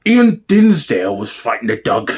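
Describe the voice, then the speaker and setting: A man acts out a frightened crime witness in a comedy mock documentary. The voice swings widely in pitch, halting dramatically with dread. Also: very animated, husky. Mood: fearful. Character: fearful male crime witness recalling gangster brothers. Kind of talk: mock-documentary interview sketch.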